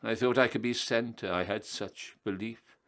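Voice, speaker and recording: A man voicing an excitable, misguided male skeleton from a storybook, in a theatrical, exclamatory manner. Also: on the low side, normal speed, voice pushed up. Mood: dejected.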